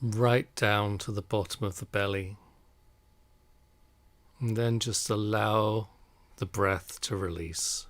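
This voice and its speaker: Man, meditation guide, fairly low pitch, very slow pace, calm, soothing, relaxation style. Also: dry recording. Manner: calm, soothing, unhurried with long pauses.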